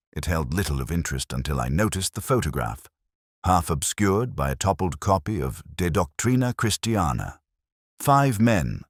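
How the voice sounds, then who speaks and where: A man narrates a detective story in an extremely low voice. His delivery is solemn and measured, rising and falling expressively over a husky grain. The sound is close and dry. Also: dry recording. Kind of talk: scripted first-person detective story narration.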